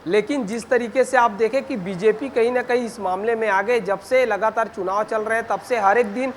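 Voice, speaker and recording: A man's voice: high voice, moderate pace. A man giving a live news report as a field reporter, talking in long, wordy run-on sentences. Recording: noisy live link with ambient sound.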